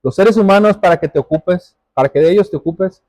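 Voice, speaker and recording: A man's voice: high-pitched, a little fast, darkish tone, no room sound. A man preaching a church sermon, reading scripture and exhorting, repeating phrases for emphasis.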